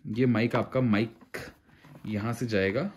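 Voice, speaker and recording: A man's voice: fairly low pitch, fairly even intonation, unhurried, a little mellow, slight background noise. A man explains a product step by step in a conversational vlog style, mixing in English phrases. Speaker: motorcycle gear reviewer. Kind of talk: casual hands-on product tutorial.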